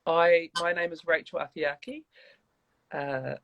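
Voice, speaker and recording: A woman from New Zealand with a New Zealand accent, chatting as an interview guest on a video call, warm and rambling with many restarts. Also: sits low, steady tone, a little slow, dry recording, dull audio. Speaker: guest, church leader. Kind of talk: casual interview chat.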